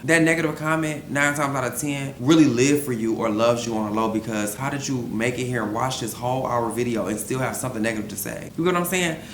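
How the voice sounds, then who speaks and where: A young man vlogging from home, answering viewer questions in a candid, slangy, animated way. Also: medium pitch, fast, few pauses. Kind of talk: casual vlog Q&A. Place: room at home he records in. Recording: echoey room, faint background hum.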